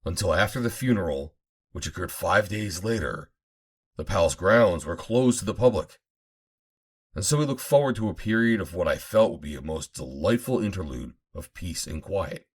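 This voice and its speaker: A man reading an adventure novel aloud as an audiobook narrator, in a measured, formal storytelling manner. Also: low-pitched, lively intonation, breathy edge. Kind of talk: dramatic scripted narration of an old adventure novel.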